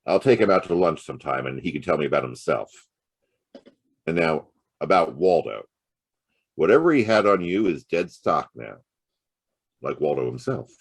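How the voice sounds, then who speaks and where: A hardboiled noir private eye, super low voice, wry and clipped, dry close sound, radio play style. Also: male voice, sing-song, moderate pace, vocal fry, long pauses, slightly rough, dry recording, full character voice. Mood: brusque, commanding. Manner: wry, clipped tough-guy talk with slangy quips. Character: hard-boiled male private detective, world-weary, wisecracking. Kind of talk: noir radio-play acting and first-person narration.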